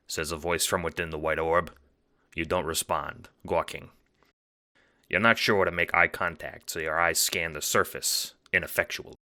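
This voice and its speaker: A man's voice: low-pitched, bright, forceful tone. A man reading scripted second-person narration for an audio drama, as its narrator.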